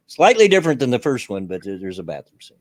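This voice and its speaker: Loud, animated middle-aged Southern man. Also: medium pitch, fast talker, no echo. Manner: loud carrying voice, laughs often. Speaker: host. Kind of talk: casual livestream chat. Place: bedroom at home.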